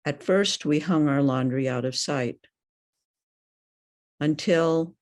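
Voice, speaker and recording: An older woman reading poetry aloud in a deliberate, questioning manner. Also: low voice, darkish tone. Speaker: poet.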